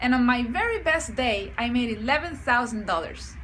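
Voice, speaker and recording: Bright, very high-pitched woman from Chile. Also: lively intonation, normal speed, a little hiss or hum. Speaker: client giving a testimonial. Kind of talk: sales testimonial.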